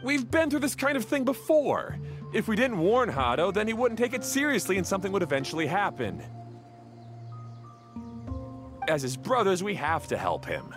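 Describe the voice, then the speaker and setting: A man voicing a protective, worried and hot-headed young man in a video game, expressive and easily flustered. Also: high voice, a little fast, over music. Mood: insistent.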